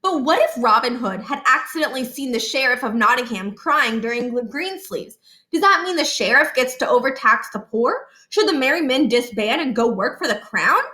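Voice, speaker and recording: Earnest young prankster boy for a storybook, read by a woman: high, sharp, courteous, explanatory, echoey sound. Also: animated, moderate pace. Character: Niles, earnest, scheming, over-thinking schoolboy prankster.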